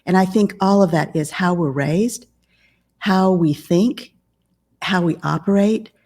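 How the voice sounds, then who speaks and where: A woman in her fifties, a business coach and author, speaking calmly and in a measured way as a podcast guest. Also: fairly low pitch. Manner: calm, measured. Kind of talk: podcast interview conversation.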